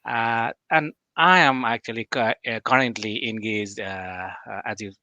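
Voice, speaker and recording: A man in his forties from Nepal, a guest expert who speaks in a measured, hesitant way with frequent pauses and fillers. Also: mid-range voice, bright, forceful tone, dry recording, dull audio. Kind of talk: interview answers.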